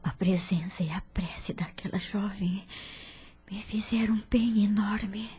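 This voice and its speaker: A woman's voice: mid-range voice, steady tone, measured pace, mellow, slightly rough. A woman playing a frail, grateful dying patient in an audio drama, speaking in a weak, faltering, emotional whisper. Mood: weak relief.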